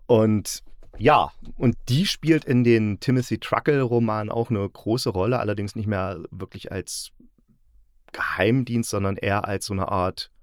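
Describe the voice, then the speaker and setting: A man's voice: fairly low pitch, a little slow, no room sound, studio quality. A man hosting a podcast, talking casually in a conversational, unscripted way. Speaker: podcast host reviewing radio plays.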